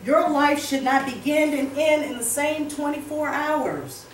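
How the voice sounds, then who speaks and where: A woman performs spoken-word poetry in a very echoey room, picked up from a distance. Her delivery is expressive and deliberate, leaning hard on key phrases. Some faint noise sits behind her voice. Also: high-pitched. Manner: expressive, deliberate, emphatic delivery with pauses and repetition. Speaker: poet reading to a workshop audience. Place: roomy indoor space, recorded at a distance.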